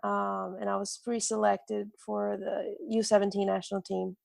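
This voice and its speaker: Woman, Brazilian accent, gravelly, flat delivery, hesitant, dry recording. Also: mid-range voice, moderate pace, dry recording. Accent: Brazilian Portuguese. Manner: hesitant, many ums and restarts. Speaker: strength and conditioning coach. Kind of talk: guest telling her life story.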